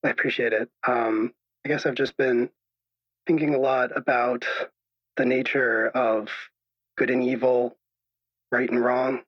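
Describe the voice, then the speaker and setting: A man plays a troubled caller on a radio show, anxious and apologetic. His voice is rough and husky, breaking into hesitant stammers. The sound is dull and lo-fi. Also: mid-range voice, flat delivery, a little slow, long pauses, natural voice. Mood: troubled, halting. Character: anxious, troubled male caller unsure of his own reality. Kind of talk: improvised radio drama. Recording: dull lo-fi echoey call sound.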